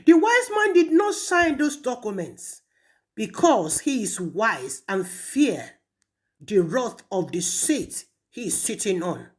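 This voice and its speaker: A woman with a Nigerian accent delivering a stern, deliberate admonishing message, repeating phrases for rhetorical weight. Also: mid-range voice, big pitch swings. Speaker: speaker addressing a traditional ruler in a recorded message. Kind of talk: admonishing recorded audio message.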